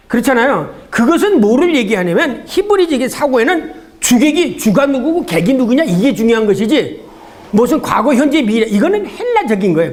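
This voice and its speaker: A man giving a theology seminar lecture in a conversational, didactic way, often asking rhetorical questions and answering them himself. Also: very high-pitched.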